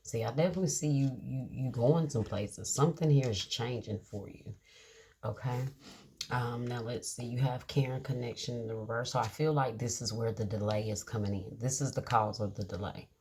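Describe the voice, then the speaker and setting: A woman giving a casual tarot reading to her viewers, reading card meanings aloud slowly and checking in with a reassuring "okay?". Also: extremely low pitch, darkish tone, slight background noise. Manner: drawn-out, reassuring, many "okay?" check-ins. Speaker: tarot reader.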